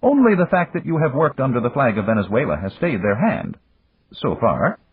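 A man voicing a burly older Japanese business magnate who speaks in a formal, measured way. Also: mid-range voice, sing-song, pitched above the performer's own voice. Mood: grave. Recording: dry, phone-like, husky.

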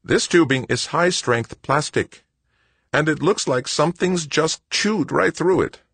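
Salty, exasperated lunar mechanic for audiobook, male reader putting on a raised, rough voice with working-class bluntness. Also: fairly high pitch, a little slow, fairly bright tone, no echo, pitched above the performer's own voice, exaggerated voice. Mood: muttering, puzzled. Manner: methodical cursing, blue-collar speech. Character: Wojo, gruff cursing male lunar worker, exasperated, salty-tongued. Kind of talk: audiobook character voice.

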